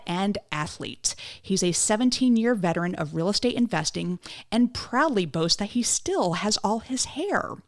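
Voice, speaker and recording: A middle-aged woman hosting a podcast, reading a scripted intro and then chatting casually and teasingly with her guest. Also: medium pitch. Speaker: podcast host.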